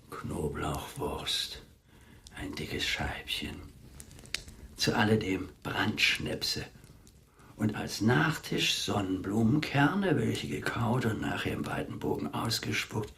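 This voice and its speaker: A self-important old manservant for radio drama: low, croaky, grumbling and rambling to himself. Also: male voice, pushed and bright, full character voice. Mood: relishing, muttering. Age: older. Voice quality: gravelly, husky. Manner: pompous, rambling, muttering to himself. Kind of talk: radio play monologue.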